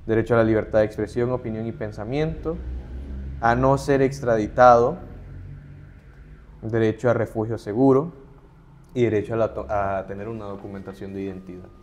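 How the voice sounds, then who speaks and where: Earnest middle-aged male advocate, somewhat low voice, steady explanatory interview delivery. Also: normal speed, long pauses, slight background noise. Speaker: human rights advocate, interview guest. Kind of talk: conversational interview.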